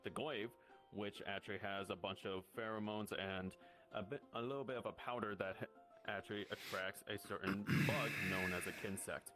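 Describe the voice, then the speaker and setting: Male roleplayer as a guild guide: slightly deep, improvised instructive delivery that stumbles and restarts, over music. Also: fairly even intonation, moderate pace, bright, forceful tone. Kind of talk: improvised in-character explanation in VR roleplay. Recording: music behind the voice.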